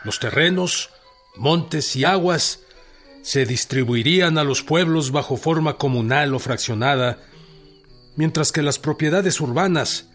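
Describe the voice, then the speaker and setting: An actor playing a revolutionary general dictating a formal decree in a radio drama, slow and measured, with music underneath. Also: male voice, medium pitch, a bit bright, close to an everyday voice.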